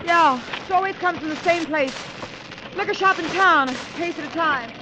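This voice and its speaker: An actress playing a bewildered, worried wife in a 1940s radio drama. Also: female voice, very high voice, normal speed. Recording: noisy old radio, storm effects.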